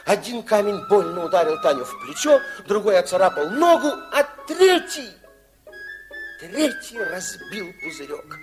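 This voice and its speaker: A man narrating a children's radio play in an expressive storybook style, with dramatic pauses. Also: sits high. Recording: old 1960s radio recording with music.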